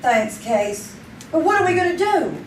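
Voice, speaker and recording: A loyal, anxious young woman played by an actress in an old-time radio play, speaking in a high voice. She sounds set back from the microphone in a roomy space. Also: very animated, normal speed. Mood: eager, pleading. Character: loyal, anxious young female friend of a photographer. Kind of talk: old-time radio drama acting, performed live on stage. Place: theater stage, live performance. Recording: roomy, distant stage recording, faint noise.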